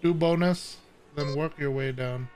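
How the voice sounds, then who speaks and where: A man's voice: on the high side, unhurried, darkish tone. A man giving casual commentary as he streams a video game. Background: video game music.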